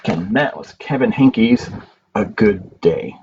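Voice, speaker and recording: Slow-paced man reading for children, muffled sound. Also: fairly low pitch, lively intonation, darkish tone. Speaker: library storytime host. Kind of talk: children's storytime read-aloud with singing. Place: at home, indoors. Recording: dull home recording with background noise.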